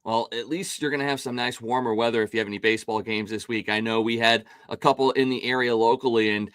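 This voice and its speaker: A man hosting a sports talk show, his voice raspy and hoarse from calling games, chatting in an upbeat, casual way with many restarts. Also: mid-range voice, fairly even intonation, quick pace, a bit bright, no room sound, clean studio sound.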